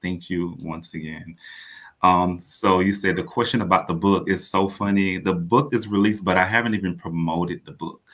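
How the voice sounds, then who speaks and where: A man's voice: low-pitched, steady tone, normal speed, a bit bright. A man from Miami, a guest playwright speaking casually in an interview. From Miami, Florida. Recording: clear background ambient noise.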